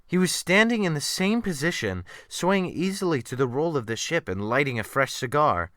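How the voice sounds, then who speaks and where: A man giving a theatrical, expressive first-person dramatic reading of a literary sea tale as an audiobook narrator. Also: a little high, normal speed, no echo, studio quality. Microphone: USB desktop microphone.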